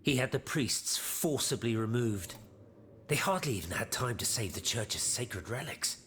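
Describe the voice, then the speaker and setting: A man voicing a grave, learned and devout inventor in a video game, solemn and deliberate. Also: mid-range voice, lively intonation, fairly bright tone, a little hiss or hum. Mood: foreboding. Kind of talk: video game cutscene acting.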